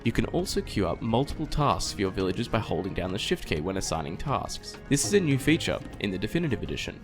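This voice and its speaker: A man's voice: fairly low pitch, sing-song, fast talker, soft background music. A man reading scripted tutorial narration for a strategy game, instructing step by step.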